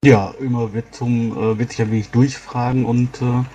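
A man's voice: mid-range voice, flat delivery, moderate pace, dark tone. A man describing his character's actions as a player in a tabletop roleplaying game. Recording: faint background noise.

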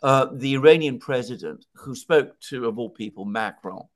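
A man in his sixties speaks as a political commentator in a conversational way. He explains things emphatically and sets up his points with rhetorical questions, at a middle pitch. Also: moderate pace. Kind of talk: conversational news analysis. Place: home study with bookshelves. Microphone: headset on video call.

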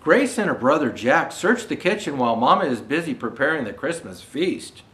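Older male storyteller, high-pitched and animated. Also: normal speed. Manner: gentle explanatory asides to young viewers. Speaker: storyteller dressed as Santa Claus. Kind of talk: reading a children's picture book aloud. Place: indoor room with Christmas decor. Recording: roomy indoor echo.

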